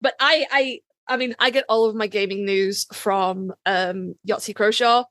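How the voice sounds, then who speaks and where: A woman hosting a casual podcast chat in a playful, animated storytelling manner. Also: mid-range voice, unhurried, no room sound, studio quality. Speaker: podcast host.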